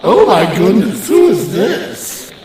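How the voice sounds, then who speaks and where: A man's voice: high-pitched, very animated, slow, very echoey, distant mic, crowd noise, pitched above the performer's own voice, exaggerated voice, poor audio. A man playing a vain, gossipy talking suit of armor in a comic audio drama, with a campy, gushing, sassy drawl. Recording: music behind the voice.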